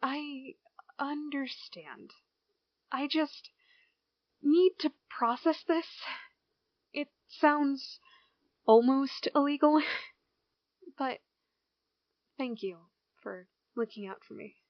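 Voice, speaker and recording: A woman's voice: high-pitched, no echo. Hesitant, withdrawn girl, acted by a woman, dull recording. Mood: hurt, hesitant. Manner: hesitant, halting. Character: withdrawn small-town girl, hurt, hesitant and apologetic. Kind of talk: amateur audio drama acting. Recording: dull, dry lo-fi home recording.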